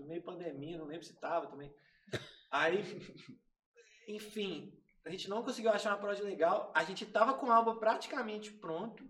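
A man from Brazil chats casually about his band's music, slangy and loose. His voice is bright and forceful and a little high for a man. He sits away from the mic in a roomy, echoing space. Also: recorded from a distance. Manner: laid-back, slangy storytelling. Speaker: band member, producer. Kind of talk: casual podcast interview. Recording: echoey room, off-mic.

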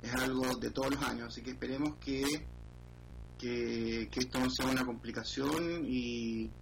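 A man with a Chilean accent, a financial columnist answering interview questions in a measured, hesitant way. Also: medium pitch, fairly even intonation, gravelly, a little hiss or hum, poor audio. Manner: measured, hesitant, many fillers.

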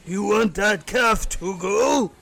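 A man voicing a friendly alien diner cook with a gruff, gravelly voice in a comedy sketch. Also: high voice, slow pace, a bit bright, voice pushed up, exaggerated voice. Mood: friendly, unhurried.

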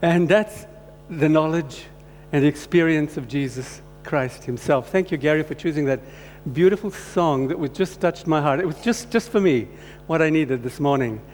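A man from Croatia giving a personal testimony sermon as a guest speaker, talking haltingly with many restarts. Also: a little high, a little mellow. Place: church hall. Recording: long hall reverb, faint hum.

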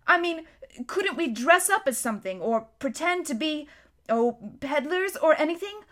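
Lady playing an eager schoolboy, tripping over his words, high voice. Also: animated, normal speed, fairly bright tone. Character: eager, earnest young boy.

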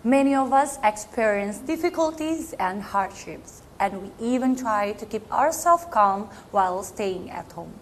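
A young woman with an Indonesian accent giving a slow, carefully rehearsed greeting in English, played back as a clip. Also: medium pitch, expressive, a little hiss or hum. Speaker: pageant contestant giving a video greeting. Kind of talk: scripted formal greeting.

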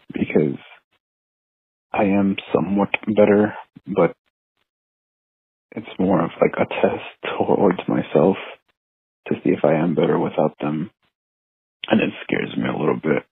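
A man's voice: a bass voice, vocal fry, slightly rough, no room sound. A man leaves a hesitant, subdued personal voicemail. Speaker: caller leaving a voicemail. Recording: tinny phone line.

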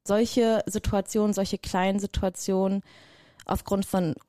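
Emphatic German woman guest, mellow voice. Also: mid-range voice, unhurried, no room sound, clean studio sound. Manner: lively, emphatic, repeats words for stress. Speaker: guest, personnel developer. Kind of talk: casual interview talk.

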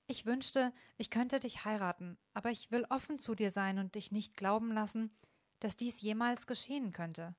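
A woman's voice: medium pitch, moderate pace, dry recording, clean studio sound, natural voice. A woman voicing a kind young woman in a storybook reading, earnest and heartfelt. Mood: gentle.

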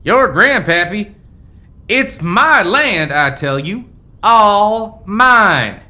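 A man's voice: sits high, expressive, drawn-out words, pushed and bright, full character voice. A man playing a blustering, possessive old American rancher's ghost in a radio play, talking in folksy frontier style. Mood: indignant, possessive.

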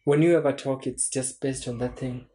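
A young man in his twenties, a podcast guest talking through his thoughts in a relaxed, reflective way with frequent restarts. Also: medium pitch, moderate pace. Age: 20s. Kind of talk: casual chat.

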